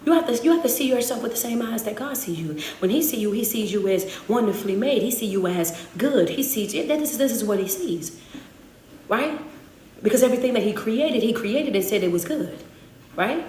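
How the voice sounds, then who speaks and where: Woman motivational speaker, higher voice, emphatic preaching delivery, roomy echo. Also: animated, fairly quick, a little mellow, slight background noise. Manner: emphatic, repeats refrains like "understand that". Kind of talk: preaching-style motivational talk.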